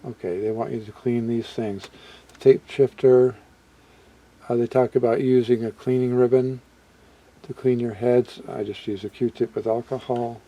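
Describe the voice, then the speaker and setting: A man with a mellow, relaxed voice at a middling pitch talks through a hobby walkthrough. He is unscripted and halting, musing aloud as he goes. Sentences often trail off before he picks up a new thought. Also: lo-fi sound. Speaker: hobbyist video presenter. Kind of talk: casual unscripted product walkthrough.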